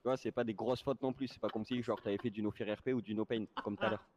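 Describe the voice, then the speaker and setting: A man's voice: on the low side, steady tone, fast talker, darkish tone, slight background noise. A man moderating an online roleplay game, explaining casually and insistently, often repeating words. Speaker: game server staff moderator. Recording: dull lo-fi voice chat.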